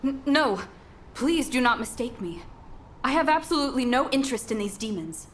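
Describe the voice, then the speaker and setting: A woman's voice: high-pitched, animated, moderate pace, slight background noise. An actress playing a solemn warrior woman in a video game, earnest, with stiff formal diction.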